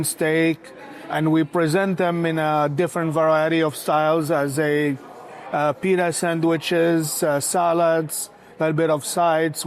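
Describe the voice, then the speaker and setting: Hesitant man, high voice. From Morocco. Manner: hesitant, many filler sounds. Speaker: restaurant founder, guest. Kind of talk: casual interview.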